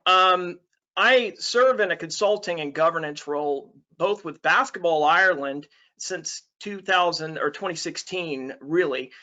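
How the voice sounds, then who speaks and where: A middle-aged man from Alabama presents a coaching talk in a friendly, easygoing way, with plenty of ums and uhs. His voice is fairly high-pitched and bright. The sound is dull and lo-fi, like an online call. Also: a little slow. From Alabama, USA. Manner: friendly, many fillers. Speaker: basketball coach presenting a webinar.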